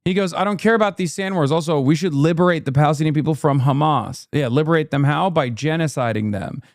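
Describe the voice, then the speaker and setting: A man's voice: fairly high pitch, dry recording, studio quality. A man, a streamer giving combative, sarcastic political commentary while reacting to a clip. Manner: combative, sarcastic, rapid rebuttals.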